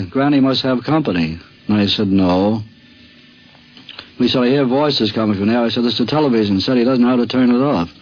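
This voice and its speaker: Male interviewee, mid-range voice, conversational storyteller, dull old radio sound. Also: medium pitch. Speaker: sportswriter, interviewee. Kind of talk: conversational anecdote telling. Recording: dull vintage radio recording.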